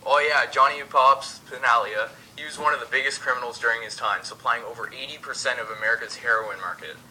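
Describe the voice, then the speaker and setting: A man's voice: medium pitch, steady tone, quick pace, sharp tone, slight background noise, poor audio. A young man reading as co-host of an amateur mock 1920s radio show. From Canada.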